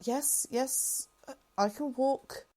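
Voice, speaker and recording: A woman's voice: sits high, slow pace, darkish tone. A woman playing a composed, reassuring and formal rebel general in a fan-made sci-fi roleplay.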